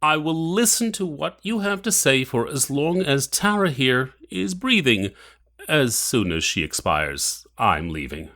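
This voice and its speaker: Cold audio drama villain: formal, measured, mocking, with widely swinging intonation. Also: male voice, mid-range voice, big pitch swings, bright, forceful tone. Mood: cold menace. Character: menacing, cold, mocking villain, adult man.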